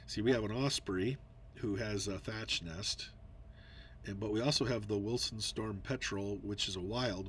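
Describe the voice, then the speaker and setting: A somewhat low-pitched man talks through his moves in a digital board game, sounding congested and slightly nasal. He rambles as he thinks aloud, with frequent ums and restarts. A faint hiss sits under the recording. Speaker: board game video player. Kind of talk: casual gameplay commentary.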